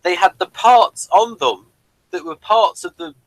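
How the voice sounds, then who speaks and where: A man's voice: sits high, expressive, unhurried, no room sound, poor audio. A man hosting a casual pop-culture review, rambling keenly through small details. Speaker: review show host.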